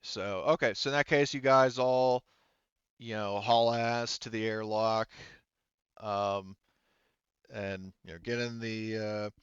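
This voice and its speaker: A man with a low, clean voice runs a tabletop roleplaying game. He improvises the narration casually and haltingly, thinking aloud as he goes. The sound is close and dry, a little dull. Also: fairly even intonation, smooth, no echo. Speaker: game master.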